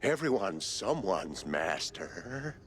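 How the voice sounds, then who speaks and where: An actor playing an eccentric, servile lab caretaker, theatrical and rambling, in a cartoon game. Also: male voice, low-pitched, sing-song, slow, a little hiss or hum, exaggerated voice. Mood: servile, playful. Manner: theatrical, babbling, obsequious.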